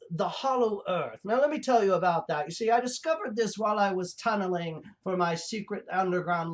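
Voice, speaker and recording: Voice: mid-range voice, vocal fry. Someone putting on a rambling, cranky mad-professor creature. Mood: self-important, rambling. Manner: hesitant, rambling, stammering. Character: cranky fake-professor creature puppet host with claws, rambling, grandiose. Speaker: show host. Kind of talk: improvised in-character comedy monologue.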